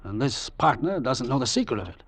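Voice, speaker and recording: Commanding crime boss, old radio. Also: male voice, medium pitch, sing-song, a little fast, a bit bright. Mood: decisive. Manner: authoritative, clipped. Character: shrewd, commanding middle-aged crime boss. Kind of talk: 1940s-50s radio acting. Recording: old radio recording, faint noise.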